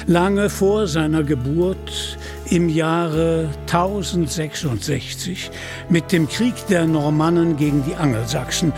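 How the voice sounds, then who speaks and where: A man narrating a scripted radio play in a measured, deliberate storytelling manner, with a husky voice. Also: fairly high pitch, music in the background.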